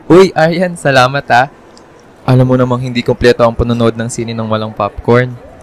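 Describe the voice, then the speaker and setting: A man's voice: mid-range voice, steady tone, moderate pace, natural voice, rough recording. A man playing a friendly, sincere young crush in a Filipino radio drama, easygoing in manner. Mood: friendly banter. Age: young adult.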